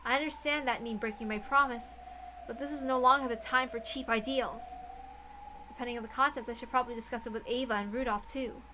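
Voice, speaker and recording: A woman's voice: a little high, steady tone, brisk, background noise, natural voice. A woman voicing a tense, anxious and secretive mother, hesitant and self-questioning, in a visual novel read aloud. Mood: anxious, calculating. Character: anxious, secretive adult mother, quick to scold.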